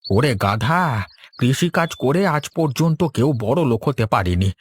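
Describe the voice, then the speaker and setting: A man's voice: mid-range voice, lively intonation, normal speed, husky. A man voicing a bitter, disapproving old farmer father in a cartoon, scolding and nagging. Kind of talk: cartoon dialogue.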